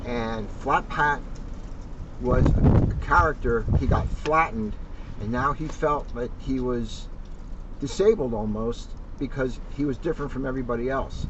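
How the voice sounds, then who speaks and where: A male police officer giving an informal, encouraging address to children and families, earnest and repeating phrases for emphasis. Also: measured pace. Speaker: police officer co-hosting a community message. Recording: distant, dull mic with clear rumbling noise.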